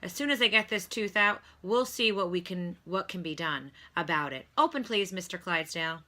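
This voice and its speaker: A woman voicing a brisk, kindly male dentist in a storybook, instructive and given to exclaiming. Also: on the low side, lively intonation, bright, forceful tone.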